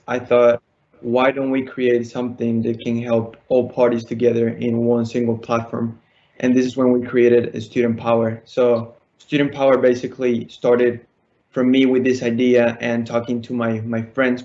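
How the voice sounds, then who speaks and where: A teenage man from Colombia, giving a prepared pitch over an online call. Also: warm tone, dull audio. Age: teens, high school student. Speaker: student entrepreneur pitching.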